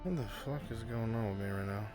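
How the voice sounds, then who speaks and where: Guy running a vr roleplay as game master, normal pitch, rain n music in the back. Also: fast. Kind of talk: live VR roleplay narration and direction. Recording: music and rain sounds behind voice. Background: music and rain ambience.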